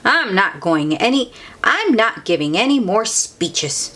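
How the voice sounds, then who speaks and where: A woman's voice: medium pitch, big pitch swings, unhurried, a bit bright. A woman voicing a dejected male police officer in a children's storybook, speaking in plain, sulky statements. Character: dejected male police officer who gives safety tips.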